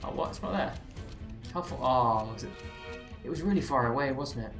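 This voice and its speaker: A man's voice: mid-range voice, lively intonation, moderate pace, fairly bright tone. A man with a Southeast English accent tinged by American speech, giving chatty, rambling live commentary as he plays a game, with wry self-mocking asides. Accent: Southeast English, slightly Americanized. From England. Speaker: game streamer. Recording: roomy echo with game music behind. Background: game music.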